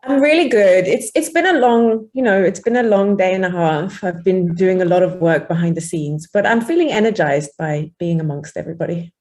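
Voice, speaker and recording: A woman's voice: lowish voice, a little fast, hardly any pauses, a little mellow. A woman joining a workshop by video call, introducing herself playfully and laughing between phrases. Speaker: workshop participant.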